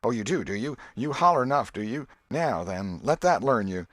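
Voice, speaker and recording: A man's voice: medium pitch, animated, moderate pace, a bit bright, no room sound, studio quality, pitched above the performer's own voice. Man acting a pugnacious, taunting schoolboy. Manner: colloquial, taunting. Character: jealous, pugnacious schoolboy bragging and threatening.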